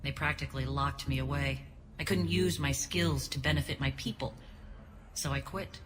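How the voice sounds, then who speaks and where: An actress playing a principled, wary alien ex-soldier who leads a resistance in a video game, earnest and measured. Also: female voice, rumbling low, fairly even intonation. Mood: reflective. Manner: earnest, measured, dryly pointed. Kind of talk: dramatic video game acting. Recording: long reverb, noisy background.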